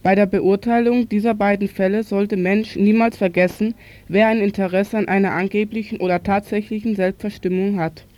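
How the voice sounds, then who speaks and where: A commentator with a medium-pitched voice reads a prepared political piece for radio. The delivery is formal and read from a script, with the odd slip. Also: fairly even intonation, moderate pace, darkish tone.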